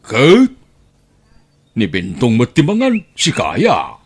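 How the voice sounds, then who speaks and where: A man acts out a penny-pinching Ilocano uncle in an audio drama. The voice is rough and husky, with animated swings in pitch. He wheedles and exclaims greedily. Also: takes it slowly, exaggerated voice. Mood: dismissive.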